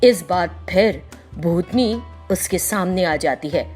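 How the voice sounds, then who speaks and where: A woman narrating a scripted children's story in an expressive storytelling way. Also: fairly low pitch, takes it slowly, soft background music.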